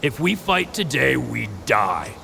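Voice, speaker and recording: An actor playing a cautious, stubborn townsman in an audio drama, speaking with emphatic oratory and a gravelly voice. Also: mid-range voice, sing-song, takes it slowly, bright, forceful tone, slight background noise, full character voice. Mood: grave, urging. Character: cautious, stubborn townsman urging appeasement and survival.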